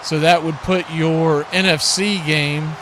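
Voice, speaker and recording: Male football play-by-play host, slightly high-pitched, folksy and conversational, noticeable noise behind the voice. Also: slow pace. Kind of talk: live football watch-party commentary. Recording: steady background noise.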